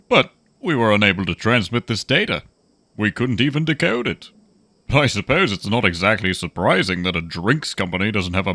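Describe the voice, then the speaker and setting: A man's voice: lowish voice, a bit bright, no room sound, exaggerated voice. A man playing a pompous, greedy corporate executive in a sci-fi audio drama, haughty and condescending in formal wording.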